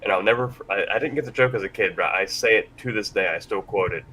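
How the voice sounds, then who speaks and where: A man's voice: on the low side, fast, bright, forceful tone, low-quality recording. A man hosting a casual panel discussion in a rambling, self-deprecating way. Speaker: panel host. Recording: faint background noise.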